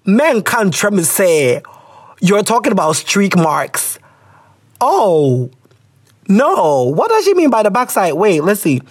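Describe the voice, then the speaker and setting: A woman's voice: medium pitch, slow, slightly rough. A woman with a Ghanaian English accent hosting a podcast, reading out listener letters in a loose, swear-heavy, laughing way. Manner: laughs a lot, swears, animated reactions. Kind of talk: casual chat.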